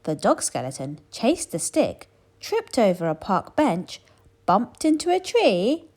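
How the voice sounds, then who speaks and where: A woman reading a children's story aloud in an animated, expressive way with dramatic emphasis. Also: medium pitch, a little slow, noisy background. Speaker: storybook reader.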